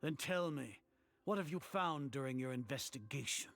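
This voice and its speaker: A man playing a proud, scheming older sage in an anime game, with a husky voice and a haughty, formal delivery. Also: mid-range voice, lively intonation, normal speed, fairly bright tone. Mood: defiant. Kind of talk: dramatic anime game voice acting.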